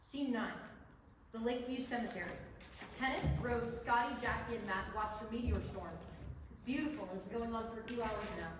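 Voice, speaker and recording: A fairly high-voiced woman reads stage directions briskly, as scripted narration for a play. She sounds distant, in a heavily echoing hall with faint noise. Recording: very echoey hall, distant mic, slight noise.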